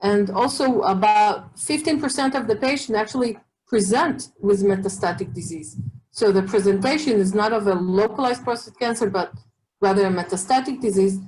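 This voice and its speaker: A woman's voice: mid-range voice, moderate pace, darkish tone, low-quality recording. A middle-aged woman oncologist with an Israeli accent, answering questions in an online talk, hesitant with many fillers and restarts. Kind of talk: expert webinar answering questions.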